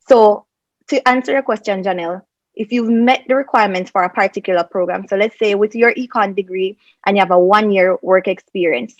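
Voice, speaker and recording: A woman gives methodical, step-by-step advice as a panel consultant. Her voice sits at an average pitch and is recorded dry, through slightly muffled video-call audio. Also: no echo. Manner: methodical, step-by-step, emphatic enumerating. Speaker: immigration consultant, panel guest. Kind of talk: explanatory advice in an online panel. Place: small indoor room on a video call.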